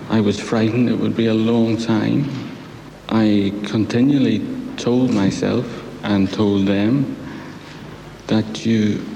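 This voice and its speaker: A man speaking reflectively and deliberately. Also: fairly low pitch, creaky, warm tone, roomy sound, big-room sound, slight background noise, poor audio. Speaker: released hostage.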